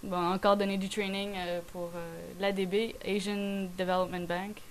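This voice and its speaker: A woman's voice: mid-range voice, flat delivery, moderate pace, a little hiss or hum, poor audio. Chatty young woman, Québécois accent. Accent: Québécois French. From France. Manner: rambling, many fillers, mixes in English words. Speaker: guest. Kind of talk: casual chat.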